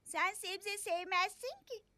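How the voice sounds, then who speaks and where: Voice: very high-pitched, slow pace, background noise. A performer voicing a confident, helpful little girl in a children's cartoon dub, explaining things in a matter-of-fact childlike way. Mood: friendly, explaining.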